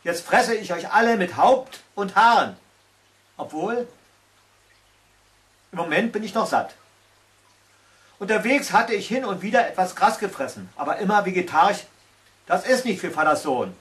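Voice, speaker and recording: A man's voice: sits high, lively intonation, moderate pace, long pauses, fairly bright tone. A man voicing a scornful, boastful and lazy giant slug monster in a fairy tale, with a mocking, theatrical delivery. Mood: boastful, exasperated.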